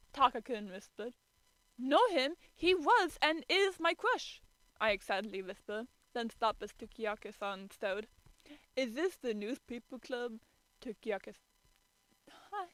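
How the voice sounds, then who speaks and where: Female amateur streamer, bright and high-ish, dramatic story reading with stumbles and exasperated asides. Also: no room sound, poor audio. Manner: stumbling over words, theatrically exasperated. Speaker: streamer reading fan fiction aloud.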